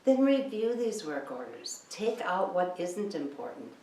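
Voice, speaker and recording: A woman's voice: fairly low pitch, sing-song, unhurried, dark tone. A woman giving an instructional training lecture in an emphatic, insistent way, often posing rhetorical questions. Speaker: cleaning business trainer. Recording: echoey room, distant mic, background noise.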